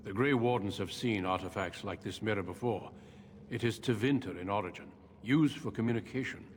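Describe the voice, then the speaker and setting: Guy playing calm grave veteran warrior from a fantasy game, lowish voice, formal n measured, big cave reverb. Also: lightly acted. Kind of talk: video game voice acting. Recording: echoey cave reverb, noisy ambience behind.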